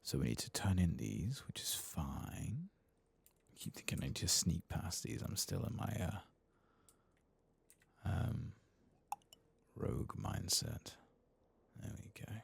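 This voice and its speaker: Very deep, gravelly soft-spoken man. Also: very animated, slow, long pauses, no echo. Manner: mumbling, half-whispered asides while playing. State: voice lower after singing. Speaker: ASMR gaming channel host. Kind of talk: soft-spoken gameplay commentary.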